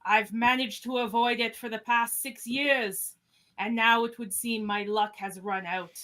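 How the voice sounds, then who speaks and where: A woman playing a formal, weary starship captain with measured speech in an amateur radio play. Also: fairly high pitch, fairly even intonation, bright, forceful tone. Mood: dry dismay. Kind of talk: amateur live radio-play table read.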